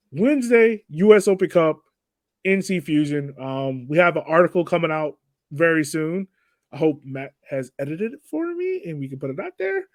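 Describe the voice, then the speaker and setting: A man with a slightly high voice hosts a casual sports chat. He talks loosely and informally, with everyday slang. The sound is very dry, with little room in it. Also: big pitch swings, unhurried, a little mellow, no echo. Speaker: podcast host.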